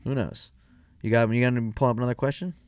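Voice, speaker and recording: A man's voice: mid-range voice, steady tone, fast talker, a little mellow, smooth, no room sound, clean studio sound. A young man co-hosting a podcast, reading listener questions and joking around in loose, profane chat. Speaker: podcast co-host.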